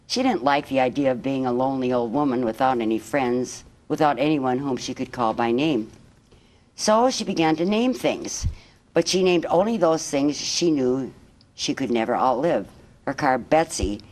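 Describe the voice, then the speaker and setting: A woman reading a children's picture book aloud as a guest storyteller, in measured, expressive phrases. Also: extremely low pitch.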